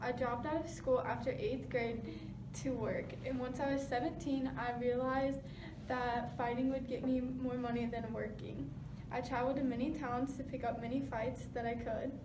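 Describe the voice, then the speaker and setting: Woman as high-voiced 1920s boxing champion. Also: steady tone, moderate pace, natural voice. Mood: matter-of-fact. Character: famous 1920s male boxing champion being interviewed. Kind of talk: rehearsed scripted interview answers. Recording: noisy, echoey room, distant mic.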